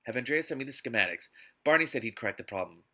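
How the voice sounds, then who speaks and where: Man as rich businessman, curt, self-assured, bright tone, novel reading. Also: medium pitch, lively intonation, fast talker. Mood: businesslike.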